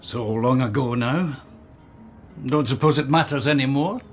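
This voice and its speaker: An actor playing an old, blind, wry Scottish gentleman who reminisces teasingly in a radio drama. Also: mid-range voice, a little slow, close to an everyday voice. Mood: amused reminiscing. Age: older. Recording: music behind.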